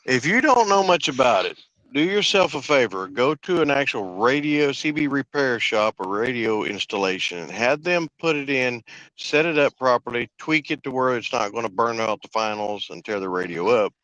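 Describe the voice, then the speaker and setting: A man's voice: mid-range voice, expressive, normal speed, sharp tone, no room sound, low-quality recording. A man, a truck driver, chatting on a live show and telling a story about getting his radio repaired, giving emphatic advice to viewers. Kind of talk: casual chat, personal anecdote.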